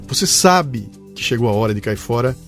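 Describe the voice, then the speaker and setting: A man's voice: mid-range voice, lively intonation, a little fast, a bit bright, faint music behind. A man hosting an interview podcast, emphatic and prone to repeating words. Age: 50s. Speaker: podcast host. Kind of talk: conversational interview.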